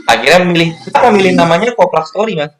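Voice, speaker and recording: A man's voice: high voice, lively intonation, fast talker, fairly bright tone, slight background noise. A man hosting a casual interview, friendly and curious, echoing and summing up his guest's answers. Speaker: interviewer, host.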